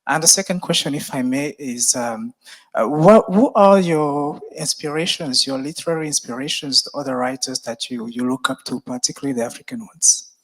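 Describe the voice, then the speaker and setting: A man's voice: mid-range voice, poor audio. A man from Cameroon in the audience politely asks the guest a two-part question at a live event. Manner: polite, slightly halting questioner. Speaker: audience member asking a question.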